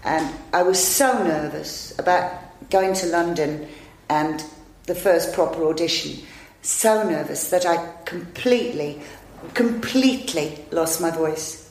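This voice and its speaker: A woman's voice: fairly low pitch, measured pace, darkish tone. Chatty fifties woman telling stories. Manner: chatty, self-deprecating, many restarts. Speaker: actress and improviser, interview guest. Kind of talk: rambling anecdotal storytelling. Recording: echoey room.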